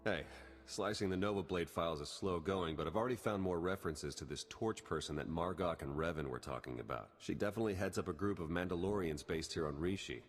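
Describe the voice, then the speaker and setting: An actor voicing an earnest male intelligence agent in a video game, giving a calm, focused briefing in a husky voice. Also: low-pitched, fast, long reverb tail, faint music behind.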